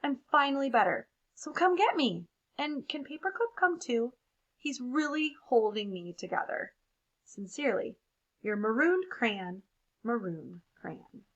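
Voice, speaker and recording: A woman's voice: fairly high pitch, very animated, a little slow, muffled recording, exaggerated voice. A woman voicing a neglected but hopeful maroon crayon in a wry, plaintive storybook delivery. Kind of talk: expressive picture-book read-aloud.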